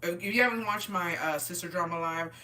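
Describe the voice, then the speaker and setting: A woman's voice: lowish voice, a little fast, sharp tone. A woman in her twenties hosting a casual livestream, tipsy, chatting with viewers in slangy talk with swearing and Spanish words mixed in. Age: 20s. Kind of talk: casual livestream chat, reading and answering comments. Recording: voice distant from the microphone.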